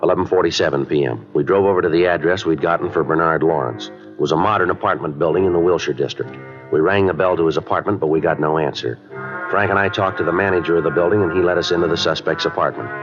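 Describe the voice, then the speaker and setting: A man acting a stoic, terse police detective in an old-time radio drama, matter-of-fact and deadpan. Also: low voice, fast talker, natural voice. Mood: flat narration. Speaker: lead actor and narrator of a detective series. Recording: dull vintage radio broadcast with music.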